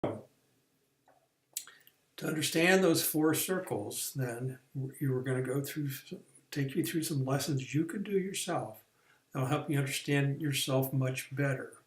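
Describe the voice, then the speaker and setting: A man's voice: medium pitch, unhurried, echoey room. A man explaining a career course in a plain, step-by-step way, with some restarts and fillers. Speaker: career coach. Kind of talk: recorded online training lesson.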